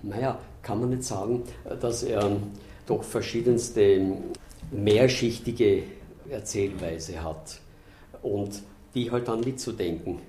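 A man giving an unrehearsed interview answer, halting and searching for words. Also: fairly low pitch, mellow. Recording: echoey room with faint noise.

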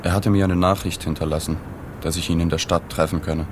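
Radio drama role: male actor as detached, observant journalist, low-pitched, matter-of-fact and understated, slight noise behind. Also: fairly even intonation, brisk, lightly acted. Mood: detached, melancholy. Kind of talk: radio drama dialogue and first-person narration.

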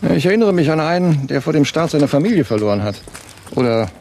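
An actor playing a genial but sceptical space programme doctor in a radio drama, with a creaky voice and an animated manner. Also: male voice, on the high side, fast, a little hiss or hum. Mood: reflective. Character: genial, sceptical male doctor, former space project leader. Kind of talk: German radio drama acting.

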